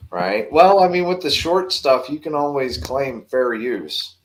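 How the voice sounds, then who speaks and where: A man's voice: medium pitch, big pitch swings, unhurried, slight background noise. A man chatting casually on a livestream panel, loose and swearing, laughing easily.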